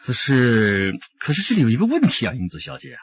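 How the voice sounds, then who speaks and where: Husky, animated police detective. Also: male voice, medium pitch, brisk, dull audio, heavily acted. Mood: probing. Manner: questioning, emphatic. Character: probing, assured male police detective. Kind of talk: radio drama acting.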